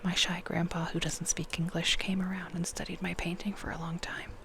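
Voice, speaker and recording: A reader whispering a novel aloud in a close, hushed ASMR style, with a gravelly fry under the breath. The voice sits at a middle pitch, soft-spoken, and now and then drifts into chatty asides. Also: level tone, brisk.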